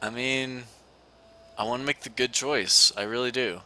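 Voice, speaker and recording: A man's voice: mid-range voice, steady tone, moderate pace, bright, forceful tone. A young man co-hosting a let's play, joking and laughing often as he chats. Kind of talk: casual gaming commentary.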